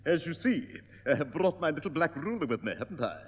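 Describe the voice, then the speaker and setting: An actor playing a prim, pompous piano teacher in a 1960s radio comedy. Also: male voice, fairly high pitch, big pitch swings, fast, slightly rough. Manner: pompous, prim, repeats "oh yes". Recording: dull, echoey old radio broadcast.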